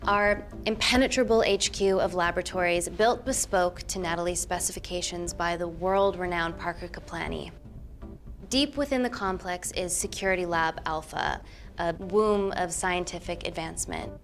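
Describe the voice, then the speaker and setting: Earnest lady scientist actress in a movie, lowish voice, articulate, bit of music under it. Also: normal speed, close to an everyday voice. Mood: poised, formal. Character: earnest, composed female doctor and scientist. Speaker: scientist presenting to investors. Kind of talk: film drama acting. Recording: film soundtrack with background music.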